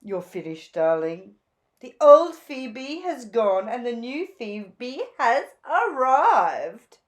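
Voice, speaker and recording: A woman voicing a vain, bossy, flamboyant female bird in a children's storybook, theatrical and gushing with drawn-out emphatic words. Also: a little high, sing-song. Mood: triumphant.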